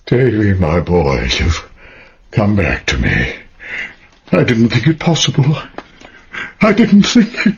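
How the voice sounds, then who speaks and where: A man's voice: lowish voice, huge pitch swings, takes it slowly, pauses often, breathy edge, muffled recording, heavily acted. An actor playing a loving father overwhelmed with emotion in a radio drama. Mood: overwhelmed joy. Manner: emotional, fervent. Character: loving father overwhelmed by his child's return.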